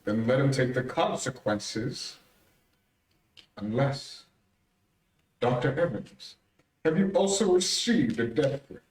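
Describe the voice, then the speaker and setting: An actor playing a grave, mysterious vigilante in 1930s radio drama style, speaking in a measured, commanding, formal way. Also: male voice, mid-range voice, darkish tone, lightly acted. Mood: probing. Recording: roomy, distant-miked stage recording.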